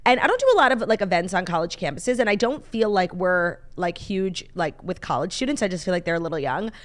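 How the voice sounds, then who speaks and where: A woman co-hosting a casual morning talk show, excitable and gushing, with casual swearing. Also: on the low side, quick pace. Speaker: podcast co-host. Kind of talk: casual chat.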